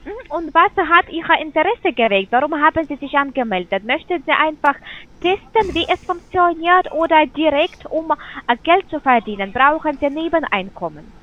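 Female phone sales agent, high pitch, polite and scripted, heard over a tinny phone line. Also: animated, moderate pace. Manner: polite, scripted questions, hesitant fillers.

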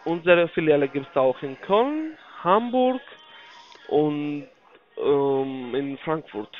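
A non-native speaker, a man with a foreign accent, giving a phone sales pitch in halting, repetitive sentences with broken grammar. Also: big pitch swings, faint music behind. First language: not German (non-native speaker). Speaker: investment telephone salesman. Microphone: phone call. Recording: dull, lo-fi phone call audio.

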